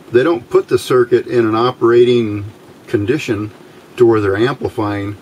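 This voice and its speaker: A man with a fairly low voice talks through a hands-on bench demonstration. He explains things in measured short phrases with pauses between them, recorded indoors with some steady room noise behind him. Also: poor audio. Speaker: electronics hobbyist demonstrator. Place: indoors at a workbench.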